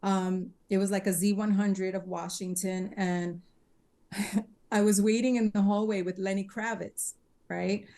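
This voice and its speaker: A woman's voice: mid-range voice, level tone, unhurried, mellow. A woman, a singer chatting as a podcast guest and retelling old exchanges in quoted dialogue. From Bronx, New York. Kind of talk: casual interview storytelling.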